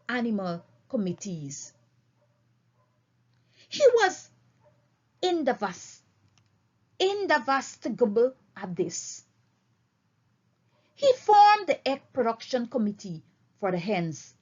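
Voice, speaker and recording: Slow, animated woman reading a story aloud. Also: a little high, muffled recording. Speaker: audiobook reader. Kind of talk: expressive read-aloud storytelling of a novel.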